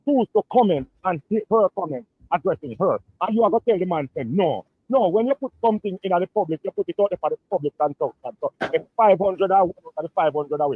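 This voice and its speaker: A man's voice: fairly high pitch, breathy edge, no echo. A man with a Jamaican accent calling in by phone, arguing and lecturing the hosts. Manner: argumentative, lecturing, rapid-fire. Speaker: phone-in caller. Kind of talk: heated call-in argument. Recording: tinny dry phone line.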